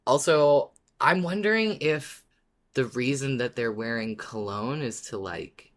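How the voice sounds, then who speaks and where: A woman co-hosting a podcast in casual chat, informal and excitable with hesitant phrasing. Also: very deep voice, unhurried. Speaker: podcast co-host.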